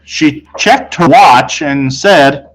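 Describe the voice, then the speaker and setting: A man reading a children's novel aloud in an expressive, conversational way, telling it as a boy in the first person. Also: fairly high pitch, extremely slow, a crowd in the background, poor audio. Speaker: storybook reader.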